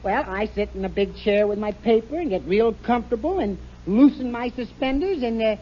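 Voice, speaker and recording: A man playing a bumbling, excitable middle-aged family man in a 1950s radio comedy, flustered and stammering with chuckles. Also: high-pitched, brisk, lo-fi sound. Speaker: radio sitcom husband. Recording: hissy old radio broadcast with studio audience.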